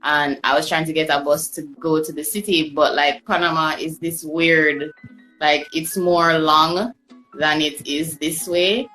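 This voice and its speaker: A woman's voice: low voice, steady tone, measured pace, a bit bright, soft background music, rough recording. A woman telling travel stories as a podcast guest, chatty and loose, with fillers and restarts. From Jamaica. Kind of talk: casual storytelling chat.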